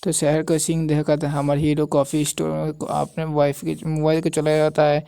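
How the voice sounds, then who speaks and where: Man, casual story recap, flat intonation, gravelly creak, stumbling delivery. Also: fairly high pitch, normal speed. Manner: stumbles over words, voices dialogue himself. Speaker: anime and manga story narrator.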